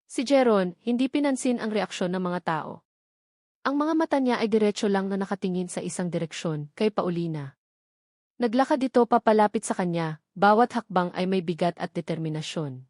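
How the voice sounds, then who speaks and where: A woman's voice: mid-range voice, expressive, moderate pace, darkish tone, no room sound, clean studio sound. A woman with a Filipino accent narrating a romance story in a dramatic, scripted audiobook style.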